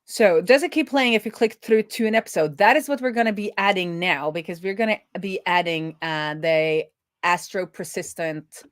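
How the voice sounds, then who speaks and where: A woman's voice: lowish voice, normal speed. A woman thinking aloud in a chatty, casual way as she live-streams a coding session. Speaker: streamer and web developer.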